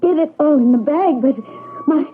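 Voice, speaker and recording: An actress playing a terrified store clerk in a vintage radio drama, stammering and pleading. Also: female voice, high voice, moderate pace, lightly acted. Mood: panicked. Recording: tinny, faintly noisy old radio broadcast.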